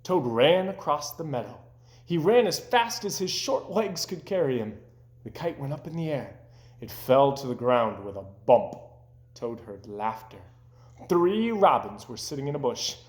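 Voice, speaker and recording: A man reading a children's story aloud with animated narration, recorded in an echoey room. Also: medium pitch, measured pace. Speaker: storybook reader.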